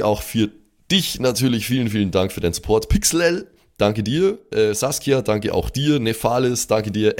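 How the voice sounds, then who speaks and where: Male podcast host, lowish voice, casual thank-you roll call, jokey and slangy. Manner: jokey, slangy asides on names.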